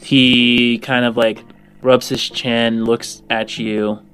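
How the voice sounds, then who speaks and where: A man runs a sci-fi tabletop game as its game master, in a mid-range voice. He describes scenes informally and haltingly as he makes them up, with a music bed behind him. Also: medium pitch, flat delivery, a bit bright.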